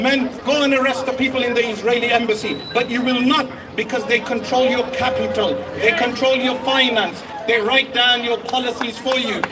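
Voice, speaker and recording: A man giving an impassioned speech at an outdoor protest rally. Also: very high-pitched. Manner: impassioned, declamatory. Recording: open-air rally from far off, crowd behind.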